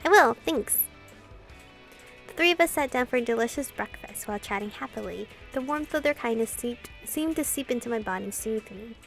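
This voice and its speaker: A woman doing an informal, expressive playthrough of a visual novel, reading and commenting as host. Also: a little high, fast talker, vocal fry, few pauses, soft background music. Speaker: gaming video host.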